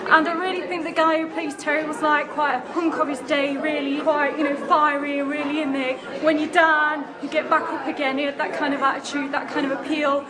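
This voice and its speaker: A woman's voice: high-pitched, level tone, brisk, few pauses, a crowd in the background. A woman giving a casual vox-pop opinion as an audience member. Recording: busy crowded hall.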